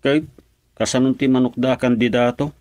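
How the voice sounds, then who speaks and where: A man's voice: mid-range voice, fairly even intonation, normal speed, a little mellow, dry recording, lightly acted. A man playing an easygoing husband who teases his wife and mutters to himself. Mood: bantering. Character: husband Doming, easygoing and teasing. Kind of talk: radio drama acting.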